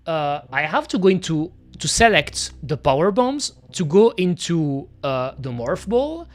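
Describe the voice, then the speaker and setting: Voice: mid-range voice, sing-song, unhurried. A streamer from Belgium with a Belgian accent, whose first language is French, chatting casually to viewers while playing and often saying "you know" and "pretty much". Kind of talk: casual gameplay commentary.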